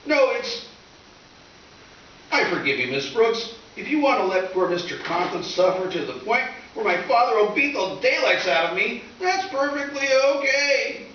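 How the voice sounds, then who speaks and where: A man's voice: high-pitched, big pitch swings, moderate pace, pushed and bright, highs cut off, full character voice. A man playing a scheming, melodramatic teenage schoolboy with slangy, whiny delivery in old-time radio comedy style. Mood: wounded guilt-tripping. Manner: whiny, exclamatory, theatrical pleading. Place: live stage performance in a reverberant room. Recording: echoey distant stage recording with hiss.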